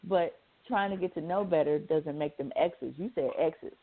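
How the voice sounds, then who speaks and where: A man's voice: fairly high pitch, normal speed. A man with an American accent, bantering as a radio co-host in slangy, argumentative, swearing talk. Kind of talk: casual banter. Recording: narrow phone-like line.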